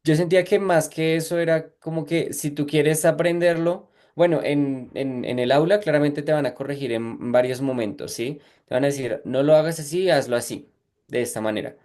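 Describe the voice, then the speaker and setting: A man's voice: fairly high pitch, moderate pace, a little mellow. A man who is a language teacher and researcher, a guest in a casual podcast chat, reflective and often repeating words as he searches for them.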